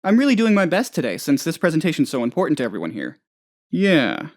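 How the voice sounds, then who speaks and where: A hapless office boss from a comedic video game, full of childish self-importance. The delivery rambles and hesitates, swinging widely in pitch. Worry and whining creep through the thin show of confidence. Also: huge pitch swings, fast. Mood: awkward, earnest. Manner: rambling, hesitant, whiny when upset. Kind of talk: comedic game dialogue, voice lines.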